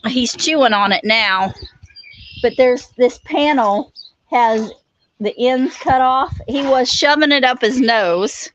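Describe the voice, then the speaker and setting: A woman's voice: high-pitched, slow pace, lo-fi sound. A woman with an Oklahoma accent, chatting casually on a farm livestream while milking a cow. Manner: folksy, chatty, laughing asides. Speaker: homesteading livestream co-host. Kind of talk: casual live-stream chat.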